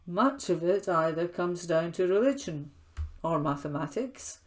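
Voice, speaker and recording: A woman reads a written feature article aloud at a very unhurried pace. Her voice sits a little low, and she records as a volunteer newspaper reader from home. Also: lively intonation.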